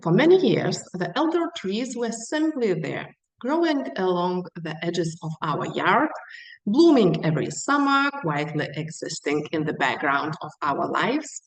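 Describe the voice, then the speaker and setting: A woman with a Lithuanian accent presents in a storytelling style, taking her time over each phrase. Her voice sits a little low. She sounds set back from the microphone in a roomy, echoing space. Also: sing-song. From central Lithuania. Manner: unhurried, storytelling with rhetorical questions. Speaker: small hospitality business owner, guest speaker. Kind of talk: prepared webinar presentation. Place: online webinar, echoey room. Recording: roomy echo, distant microphone.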